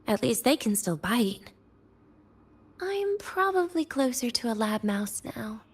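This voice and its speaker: A woman voice acting a weary, teasing, guarded and cynical young woman patient in a video game, with a breathy, languid delivery that slips into near-whispers. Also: a little high, sing-song, unhurried, exaggerated voice. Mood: resigned, bitter. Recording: music behind the voice. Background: background music.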